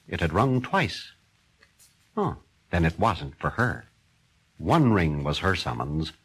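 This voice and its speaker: A man narrating a horror story in a slow, expressive scripted reading. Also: low voice, slightly rough.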